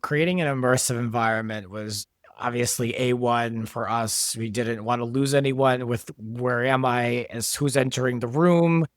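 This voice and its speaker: A man's voice: mid-range voice, moderate pace, no room sound, studio quality. A man being interviewed as a producer and director, explaining things in a conversational way. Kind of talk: interview talk.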